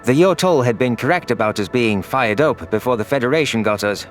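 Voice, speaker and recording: A man's voice: medium pitch, lively intonation, fairly quick. Guy narrating a story in first person, dramatic audiobook read, mid voice, music in the back. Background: background music.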